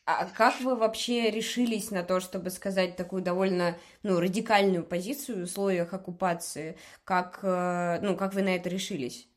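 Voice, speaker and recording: A woman conducts an interview and asks probing questions step by step, pausing to confirm that she has understood. Her voice sits fairly low. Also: fairly even intonation, slight background noise.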